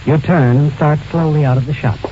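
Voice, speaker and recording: An actor playing a knowing, taunting narrator who tells a suspense story in 1940s radio style. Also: male voice, on the high side, fairly even intonation, a little fast, warm tone, a little hiss or hum, lightly acted. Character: dramatic, knowing male narrator addressing the schemer. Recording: dull vintage radio recording with music.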